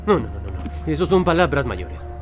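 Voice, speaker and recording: A man's voice: fairly high pitch, big pitch swings, moderate pace, over music. An actor playing a nervous, suspicious male smuggler in an audio drama. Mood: anxious.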